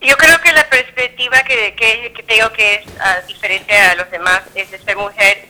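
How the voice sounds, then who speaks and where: A very bright, forceful woman in her thirties from Peru, answering an interviewer's questions as a judge. She speaks in a halting way, full of fillers and self-corrections. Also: on the high side, fairly even intonation, poor audio.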